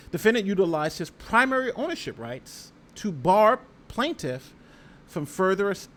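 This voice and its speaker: American man, fairly high voice, livestream host, conversational legal commentary, reading aloud with asides, faint background noise. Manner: conversational, animated asides and rhetorical questions. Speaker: YouTube host and lawyer reading a lawsuit.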